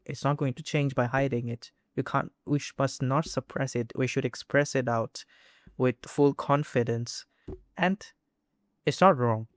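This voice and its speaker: A man's voice: medium pitch, no room sound, clean studio sound. A young man from India with an Indian English accent, talking casually to camera, hesitant with frequent restarts and fillers. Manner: halting, many restarts and fillers. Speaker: vlogger. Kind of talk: casual personal talk to camera.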